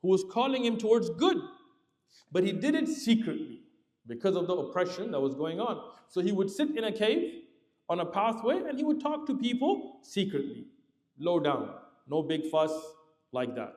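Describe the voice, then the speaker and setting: A man preaching a sermon, telling a story with lively explanatory asides. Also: normal speed. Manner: animated, lively pitch swings, explanatory asides. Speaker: Islamic preacher. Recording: roomy hall reverb.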